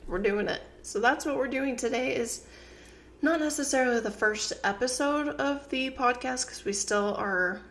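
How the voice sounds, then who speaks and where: A woman's voice: high-pitched, moderate pace, fairly bright tone. Congested young woman chatting on a podcast. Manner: rambling, many fillers and restarts. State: congested, a bit nasal. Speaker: co-host of a couple's channel. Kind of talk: casual podcast chat. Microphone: new desk microphones.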